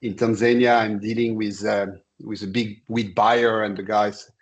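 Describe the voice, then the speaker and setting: A man in his sixties from Senegal speaks as a guest on a video call. He tells his story in a measured, halting way, with a somewhat low voice. He pauses often as he gathers his thoughts. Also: little pitch movement. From born in Senegal. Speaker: interview guest, commodity trader. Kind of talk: conversational career account.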